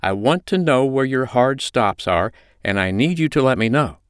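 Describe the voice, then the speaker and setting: Man voicing a commanding woman, pitched well up. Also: expressive, normal speed, smooth, dry recording, clean studio sound, close to an everyday voice. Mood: calm, reassuring. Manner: firm, instructing, soothing. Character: commanding, reassuring adult woman, a dominatrix mistress.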